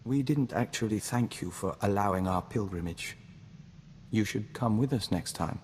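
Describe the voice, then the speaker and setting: Game companion, male actor: composed hive-joined diplomat man, low register, formal and earnest, heavy reverberation. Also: moderate pace, a little mellow, close to an everyday voice. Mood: calm, grateful. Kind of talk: scripted video game companion dialogue. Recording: long reverb, audible background noise.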